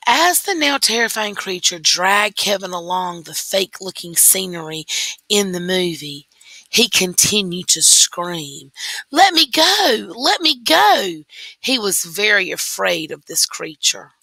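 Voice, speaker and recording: A woman teacher reading a story aloud slowly and deliberately into a computer microphone. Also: lowish voice, bright, forceful tone, dry recording, low-quality recording. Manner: slow, deliberate read-aloud.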